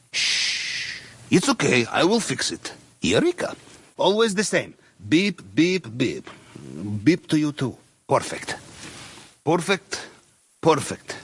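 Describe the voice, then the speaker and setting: An actor playing a jovial, deferential Russian submariner in a video game, speaking courteous, slightly broken English with a Russian accent and wry dark humour. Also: male voice, on the high side, breathy edge, heavily acted. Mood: muttering to himself. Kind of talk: video game character dialogue.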